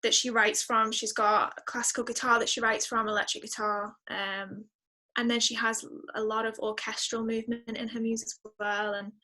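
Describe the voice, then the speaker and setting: Woman musician chatting in an interview, kinda high bright voice, reflective and into it. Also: little pitch movement, moderate pace, low-quality recording. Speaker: guest singer-songwriter. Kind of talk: casual interview chat.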